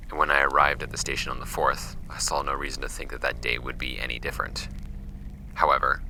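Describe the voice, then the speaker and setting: A man voicing a composed woman office worker, reading her formal letter in a measured tone for an audio drama. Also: very deep voice, gravelly, background noise, full character voice.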